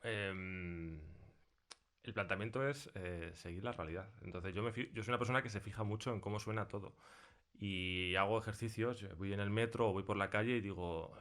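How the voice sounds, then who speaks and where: Male interview guest, Spain accent, fairly low voice, explains technical things in thoughtful, halting phrases. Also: a bit bright. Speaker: sound designer being interviewed.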